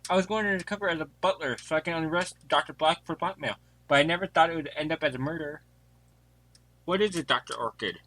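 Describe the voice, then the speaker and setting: A man's voice: fairly high pitch, fast talker, sharp tone, rough recording. A man playing a formal, earnest butler who is really an undercover detective in an amateur audio mystery. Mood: businesslike. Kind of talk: fan audio drama acting.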